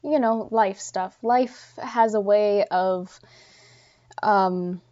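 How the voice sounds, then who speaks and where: A woman's voice: medium pitch, drawn-out words, warm tone. A woman hosting a podcast solo and unscripted, thinking aloud and correcting herself in casual talk, with a slightly congested voice. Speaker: podcast host. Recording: dull, lo-fi sound.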